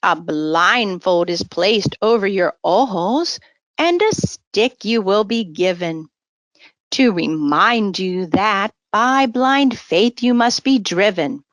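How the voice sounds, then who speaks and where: A woman reading the part of a kindly father in a children's storybook, solemn, deliberate and moralizing. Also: medium pitch, lively intonation, no echo. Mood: instructive, encouraging.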